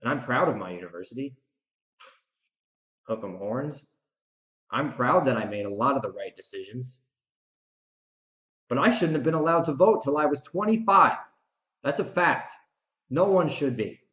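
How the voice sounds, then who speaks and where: A man talks alone as a podcast host, working through his commentary with emphatic, repeated phrases. His voice sits at an ordinary male pitch. The recording is roomy and a little dull, with audible echo around his words. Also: expressive, normal speed, long pauses, a bit bright. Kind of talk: reflective solo recap commentary, part rant.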